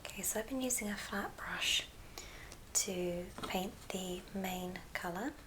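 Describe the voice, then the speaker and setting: A woman whispering a gentle, step-by-step ASMR craft tutorial in a soft, breathy voice. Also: medium pitch. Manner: unhurried, gentle step-by-step instruction. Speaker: ASMR host demonstrating a craft. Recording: clear background hiss and clicks.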